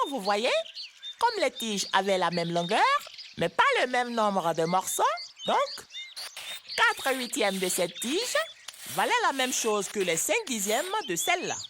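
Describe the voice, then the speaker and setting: A woman voicing a bossy but patient older girl in an educational cartoon, explaining with emphasis. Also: a little high. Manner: instructive, emphatic. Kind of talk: French-language educational cartoon dub. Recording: music behind the voice.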